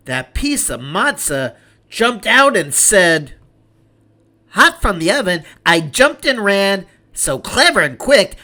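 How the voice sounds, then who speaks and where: A man narrating a children's storybook aloud in a deliberate, expressive way. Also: fairly high pitch.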